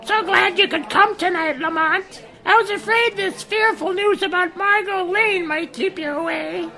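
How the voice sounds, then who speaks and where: An actress playing an effusive, gushing society hostess in a 1930s-style radio drama. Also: female voice, very high-pitched, moderate pace, pushed and bright, full character voice.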